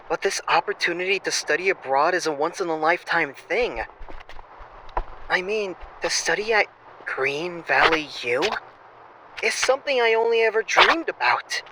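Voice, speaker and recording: A young man playing a flippant, self-absorbed exchange student, evasive and excitable, in an audio drama phone call. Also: high voice, full character voice. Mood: earnest, persuasive. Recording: noisy phone-call style recording.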